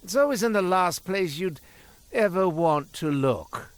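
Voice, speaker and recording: An actor playing a beleaguered, sardonic ex-thief shopkeeper in a video game, rambling theatrically with exasperated sarcasm. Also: male voice, high voice, lively intonation, unhurried, dry recording. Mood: wistful boasting. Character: beleaguered, sardonic, cursed former thief turned quartermaster shopkeeper. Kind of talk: theatrical scripted character dialogue.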